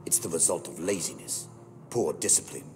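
An actor playing a cold, menacing terrorist leader in a video game, hushed, slow and deliberate, with quiet threat. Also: male voice, lively intonation. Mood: quiet menace. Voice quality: very deep, gravelly, hushed. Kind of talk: video game villain acting. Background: loud music.

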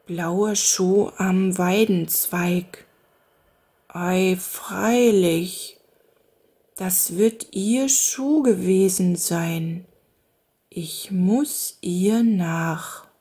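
A plodding young woodcutter from a storybook who drags out every word, as a woman puts him on. The voice is slightly breathy and unhurried. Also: mellow, heavily acted. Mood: musing. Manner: slow, lower, hesitant drawl.

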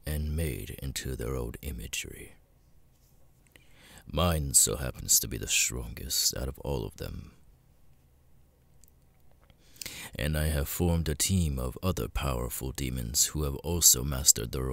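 A man playing a cold, menacing, seductive demon lord in an ASMR audio roleplay, breathy and gravelly, often near a whisper, with slow deliberate pauses. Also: very deep voice, no room sound, full character voice. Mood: calm, boastful.

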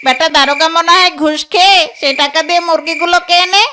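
A woman's voice: very high voice, steady tone, fairly quick, noisy background. A woman voicing a sly, greedy, whiny male thief fox in a children's cartoon, full of exclamations. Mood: greedy, gleeful. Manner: exclaiming, self-pitying talk.

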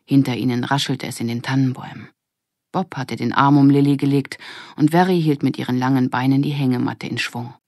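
A woman's voice: extremely low pitch, steady tone, a little fast, a little mellow, no echo, studio quality. A woman narrating a children's audiobook in a scripted storytelling style.

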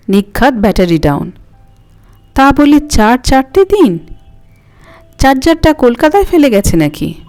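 A woman's voice: high-pitched, a little mellow. A woman narrating a story expressively as an audio story host, with music behind her.

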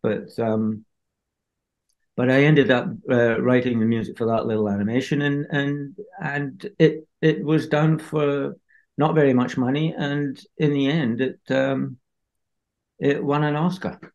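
Older guy on a video call, slow and halting, lots of ums. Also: medium pitch, level tone, a little mellow, highs cut off. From Scotland. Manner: slow, hesitant, many fillers. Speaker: film composer. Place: home room.

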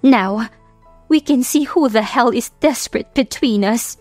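A woman's voice: on the high side, measured pace, a little mellow, no room sound, soft background music. A woman voicing a smug, mocking, faux-sweet young rich woman in an audio drama, coyly taunting and slipping into English. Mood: wronged, pleading. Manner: coy taunting, code-switching into English. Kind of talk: audio drama storybook acting.

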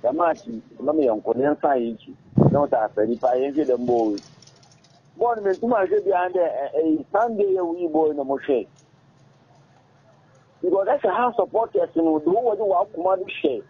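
A middle-aged Ghanaian man talks at a studio mic in a raspy, gravelly voice that swings widely up and down. He speaks haltingly, repeats himself and mixes languages as he goes. The sound is thin and boxy, as if heard over a phone line. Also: on the high side, sing-song. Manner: halting, repetitive, switches languages mid-sentence. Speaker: interview guest, former footballer. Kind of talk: casual interview talk. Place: radio studio. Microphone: studio microphone on arm. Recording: muffled phone-like audio.

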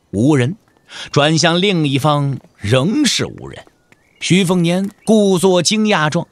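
A man's voice: fairly high pitch, no echo. A man narrating a martial-arts audio drama in a dramatic storytelling style.

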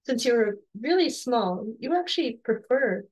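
A woman in her forties, slightly hoarse, gently interviewing her son at home on a video call with soft, encouraging questions and restarts. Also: fairly high pitch, animated, moderate pace, low-quality recording. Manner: soft, encouraging, with frequent restarts and light laughs. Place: home room with bookshelves.

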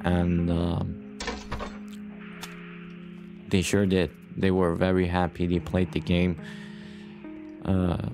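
A man giving casual let's play commentary as host, rambling and playful, often repeating his own phrases, short on sleep. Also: low-pitched. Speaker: gaming YouTuber, host. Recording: music behind the voice.

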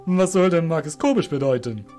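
A man's voice: fairly high pitch, lively intonation, a little fast, warm tone, soft background music, natural voice. A man voicing a cruel, sly master of a shadow guild, curt and smug in manner. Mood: puzzled.

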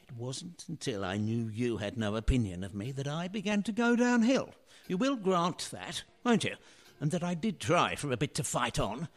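An actor playing a wry, regretful middle-aged husband, a washed-up painter, in a theatrical radio play style. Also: male voice, fairly high pitch, expressive, moderate pace, hardly any pauses, close to an everyday voice. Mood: wistful, regretful. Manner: wistful, theatrical.

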